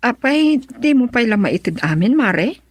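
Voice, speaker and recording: A woman acting an ambitious, brisk village friend who lends money in an Ilocano radio drama, speaking expressively and emphatically. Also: fairly high pitch, big pitch swings, slightly rough, dry recording. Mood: pressing, resigned.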